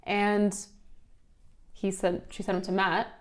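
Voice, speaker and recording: A woman's voice: medium pitch, level tone, takes it slowly. A young woman from Ukraine, raised in Philadelphia, telling anecdotes casually and playfully as an interview guest. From Ukraine, raised in Philadelphia from age seven. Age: young adult. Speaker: interview guest, bottle service worker and model. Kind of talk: casual chat, anecdotal storytelling.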